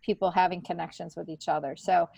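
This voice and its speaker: A woman's voice: on the low side, steady tone, quick pace, gravelly, a little mellow, slightly rough, no room sound. A middle-aged woman, a stand-up comedian, talks casually and candidly as a podcast guest, in an informal, filler-heavy way. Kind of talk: casual conversational chat.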